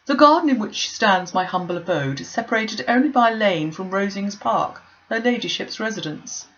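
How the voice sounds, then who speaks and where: A woman's voice: medium pitch, moderate pace, highs cut off. Woman doing a pompous, fawning clergyman. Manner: pompous, long-winded, flattering.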